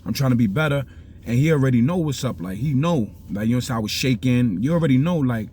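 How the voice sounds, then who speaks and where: Bronx man with a low, mellow voice. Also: lively intonation, fast, a little hiss or hum. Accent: New York (Bronx). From South Bronx, New York. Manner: rambling, keeps asking "you understand?". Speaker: vlogger giving life advice. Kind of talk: casual street talk.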